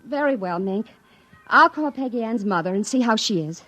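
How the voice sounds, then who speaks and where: A woman's voice: a little high, expressive, moderate pace, fairly bright tone, no echo, soft background music. A woman acting as an anxious suburban mother in a melodramatic 1950s radio drama, firm and urgent. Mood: concerned, stern. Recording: noisy vintage radio broadcast recording.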